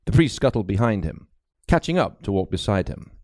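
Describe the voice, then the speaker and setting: A man's voice: on the low side, very animated, brisk, darkish tone, no room sound. A man reading a mystery novel aloud as a dramatic, scripted narration. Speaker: audiobook narrator, actor.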